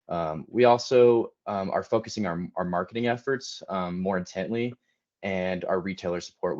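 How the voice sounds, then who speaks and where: Low-voiced man presenting, dull audio. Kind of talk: prepared business presentation.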